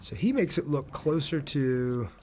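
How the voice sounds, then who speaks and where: A man's voice: on the high side, lively intonation, unhurried. A man doing casual live gameplay commentary as a streamer, chatty, thinking aloud and reading out viewer messages.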